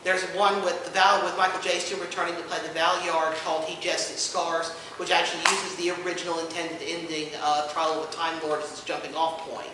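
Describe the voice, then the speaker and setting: A man giving an informal panel presentation to an audience, an enthusiastic explainer who speaks haltingly with many asides. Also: on the high side, level tone. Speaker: convention panelist presenting audio drama releases. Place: indoor convention panel room. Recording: echoey room from far off, noisy.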